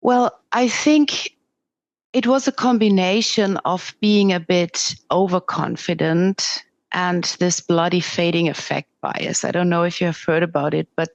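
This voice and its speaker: Candid Swiss German woman, low voice. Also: no room sound, highs cut off. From Switzerland. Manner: earnest, candid, with halting restarts. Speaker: podcast guest. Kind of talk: interview conversation.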